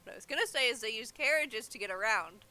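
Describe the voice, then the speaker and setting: A woman's voice: fairly high pitch, animated, fast talker, sharp tone, low-quality recording. A woman chatting casually while she plays a game on a live stream. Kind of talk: casual live gameplay chat.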